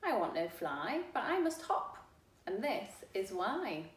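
A woman's voice: mid-range voice, big pitch swings, slow pace, reverberant. A woman voicing an alarmed, indignant frog on the run in a children's storybook.

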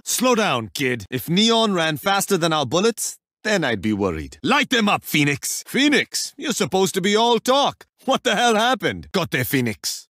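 A cocky young warrior from a video game, with an Indian accent. His voice sits fairly high for a man and swings around in lively, theatrical banter. The sound is close and dry, and the delivery is teasing and self-assured. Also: dry recording, exaggerated voice. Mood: rallying, confident. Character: confident, playful male warrior agent wielding water artifact. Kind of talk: video game character voice lines.

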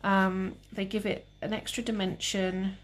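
A young woman with a calm, relaxed voice, demonstrating cake painting live and chatting with viewers. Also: mid-range voice, a little slow. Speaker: cake decorating tutor.

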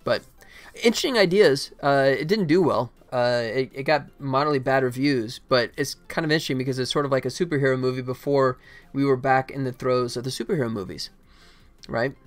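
Music plays faintly as a middle-aged man talks to his livestream. His pitch sits in the ordinary male range. He rambles casually and jokes at his own expense, close to a desktop microphone. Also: brisk. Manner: rambling, self-deprecating, jokey. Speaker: indie game developer livestreamer. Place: indoor room at a computer.

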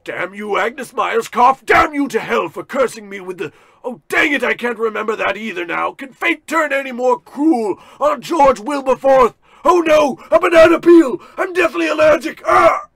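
An actor playing a melodramatic ruined executive, pompous and despairing, in a parody of old radio drama. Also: male voice, very high voice, normal speed, vocal fry, few pauses, breathy edge, dry recording, exaggerated voice. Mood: anguished ranting. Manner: pompous, overwrought, swinging into shouts.

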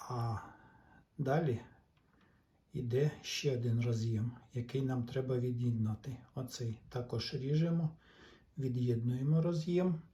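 Slow-talking man with a mellow voice. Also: medium pitch. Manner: halting, thinking aloud in short phrases. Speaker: hobbyist repairer giving a demonstration. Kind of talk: casual hands-on repair walkthrough.

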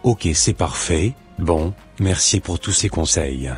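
A man voicing a calm, devout young suitor with a patient, measured manner in a scripted cartoon. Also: sits low, faint music behind. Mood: calm, hopeful.

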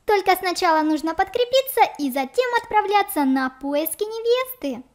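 A woman with a very high voice co-hosts a game playthrough for children. She is chatty and exclamatory, and she addresses the young viewers directly. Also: expressive, moderate pace. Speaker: co-host of a children's let's play.

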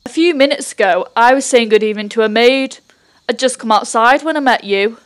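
A woman playing a polite, inquisitive gentleman guest in a radio drama, with old-fashioned phrasing. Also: a little high, brisk, natural voice. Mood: probing. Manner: polite, clipped, inquisitive, upper-class phrasing. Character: nosy, polite, probing party guest Mister Chater. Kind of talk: 1930s-set radio play acting.

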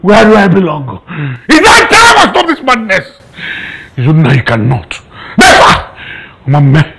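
A man's voice: a little high, sing-song, a little slow, creaky, fairly bright tone, a little hiss or hum, heavily acted. A man acting a desperate, betrayed uncle in a film melodrama, with a Nigerian Igbo accent, stammering and repeating himself. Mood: anguished, resolute. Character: desperate, panicking uncle betrayed by his nephew, in hiding.

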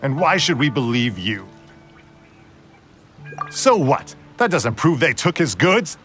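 A man's voice: medium pitch, very animated, takes it slowly, a bit bright, soft background music. A man playing a stern, suspicious soldier in an anime video game, speaking with scornful, accusing hostility.

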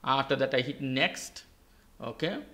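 A man's voice: medium pitch, unhurried, bright, forceful tone. A man hosting a step-by-step tech tutorial in simple, repetitive, non-native English phrasing. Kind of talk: step-by-step how-to narration. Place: indoors at a desk with a laptop.

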